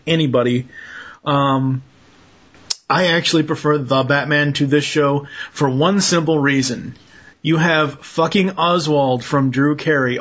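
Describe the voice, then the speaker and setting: A man's voice: medium pitch, fairly bright tone. A blunt, opinionated man talking casually as a podcast panelist. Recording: dull lo-fi podcast audio.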